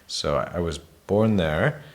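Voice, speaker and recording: Man from spain, very low voice, missionary guest, measured, hesitant, reflective. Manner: measured, hesitant with many fillers. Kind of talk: reflective personal testimony.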